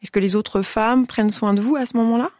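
A woman hosting a radio interview, asking questions and reacting quickly. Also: on the high side, darkish tone, no echo, clean studio sound. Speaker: radio host.

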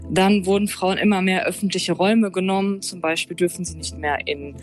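A woman's voice: on the low side, steady tone, fairly quick, faint music behind. A woman journalist from Germany, giving interview answers in a thoughtful, explaining way with some fillers. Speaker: freelance journalist, guest.

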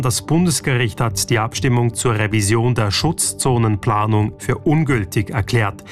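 A man reading a radio news bulletin in a measured, even way. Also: mid-range voice. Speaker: radio newsreader.

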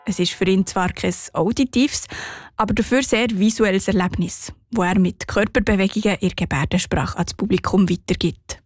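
A woman's voice: medium pitch, normal speed, no room sound, studio quality. A woman with a Swiss German accent narrating a scripted radio report.

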